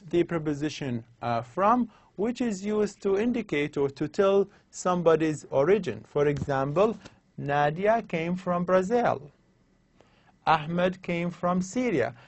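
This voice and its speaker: Male lecturer, highish voice, walks thru examples over and over, dry close mic. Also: darkish tone, dry recording. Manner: slow, explanatory, repeats examples. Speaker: university English lecturer. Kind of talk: recorded slide lecture on grammar.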